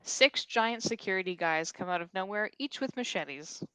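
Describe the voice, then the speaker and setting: A woman's voice: medium pitch, expressive, moderate pace, pushed and bright, smooth, no room sound, rough recording. A woman narrating action and stage directions from a script at a virtual table read.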